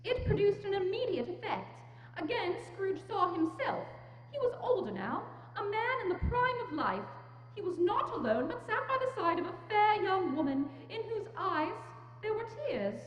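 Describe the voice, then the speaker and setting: A woman narrates a story in a very high, lively voice. It is a staged reading, and the sound echoes through a hall. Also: normal speed, faint music behind. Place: church hall, dramatic reading before microphones. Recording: dull, echoing church hall.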